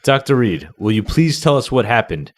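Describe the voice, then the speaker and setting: A man playing a concerned gentleman in an amateur radio play, questioning earnestly with scripted phrasing. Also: mid-range voice, very animated, moderate pace, natural voice.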